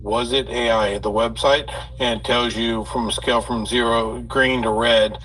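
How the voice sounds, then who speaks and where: A man in a live audio chat, brisk and matter-of-fact. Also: medium pitch, steady tone, fairly bright tone, distant mic, poor audio.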